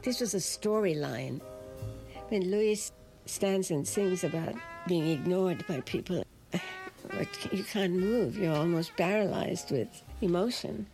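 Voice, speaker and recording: A woman's voice: lowish voice, sing-song, slow pace, low-quality recording. An older woman from Sri Lanka, a jazz singer telling stories about her past in an interview. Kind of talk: reminiscing interview. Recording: music playing underneath.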